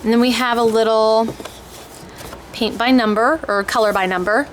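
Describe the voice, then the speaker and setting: A woman's voice: fairly high pitch, fairly even intonation, normal speed, poor audio. A woman giving a casual, explanatory product overview in an informal, hesitant way, as if thinking aloud. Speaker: homeschooling parent reviewing language kits. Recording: clear background noise.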